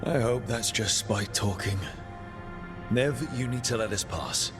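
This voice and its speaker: A resolute, loyal hero from a video game, played by a man. He speaks earnestly and reassuringly in a medium-pitched voice. Music plays clearly behind him. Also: measured pace, lightly acted. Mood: determined. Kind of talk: video game character acting.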